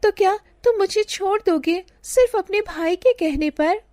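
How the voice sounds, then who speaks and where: A woman voicing a gentle, anxious young village bride who speaks earnestly and pleadingly in a cartoon story. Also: very high voice, moderate pace, no room sound, clean studio sound, voice pushed up. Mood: hurt, anxious. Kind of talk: Hindi animated moral story dialogue.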